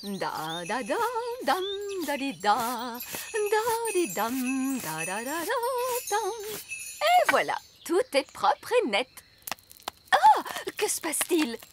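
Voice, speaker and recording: Brisk fussy cartoon nanny, high voice that swoops around. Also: female voice, wildly swooping pitch, music in the background, heavily acted. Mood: busy, humming. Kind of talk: French cartoon dub acting.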